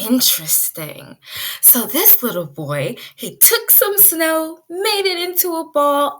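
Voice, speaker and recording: Animated woman teacher reading aloud slowly. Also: medium pitch. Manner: expressive, asks young listeners guiding questions; drawn-out emphasis on key words. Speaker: pre-K teacher. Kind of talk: children's read-aloud lesson.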